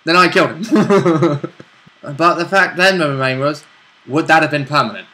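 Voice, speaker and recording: Man, fairly high bright voice, lively intonation, casual banter, quick to interject. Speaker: player in a tabletop role-play game. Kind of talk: casual chat.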